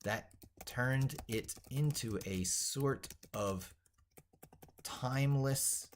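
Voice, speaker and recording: A man's voice: mid-range voice, drawn-out words, a little hiss or hum. A man delivering an opinionated tech monologue in an emphatic, declarative way, reworking and repeating his phrases as he drafts them aloud. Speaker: tech educator presenter.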